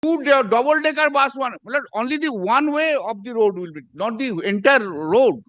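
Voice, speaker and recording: A man's voice: very high-pitched, animated, moderate pace, fairly bright tone. An older Indian lawyer with a Bengali accent, arguing in court insistently, repeating his words and cutting in. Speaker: lawyer arguing before a judge. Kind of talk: courtroom argument.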